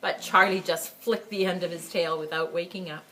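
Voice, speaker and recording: A woman reading a picture book aloud to children as a library storyteller, with expressive, emphatic phrasing and chatty asides. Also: fairly low pitch, moderate pace, a little hiss or hum, rough recording. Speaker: story time reader for a school library.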